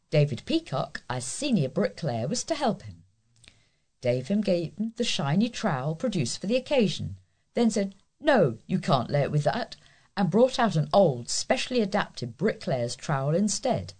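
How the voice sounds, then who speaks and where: A woman's voice: on the low side, a little mellow. A woman volunteer reading a local news feature aloud. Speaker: volunteer newspaper reader.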